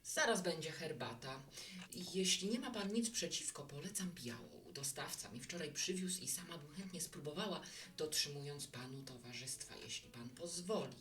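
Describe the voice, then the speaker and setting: A woman's voice: deep voice, expressive, moderate pace, fairly bright tone, distant mic. A woman playing a hospitable, reflective bar keeper in a Polish audio drama, talking in a conversational, musing way. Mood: friendly, welcoming.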